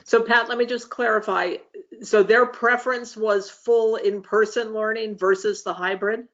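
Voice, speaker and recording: A woman's voice: on the high side, fairly even intonation, moderate pace, a bit bright. A woman with an American accent moderating an online group discussion. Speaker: meeting moderator. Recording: dull, lo-fi meeting call audio.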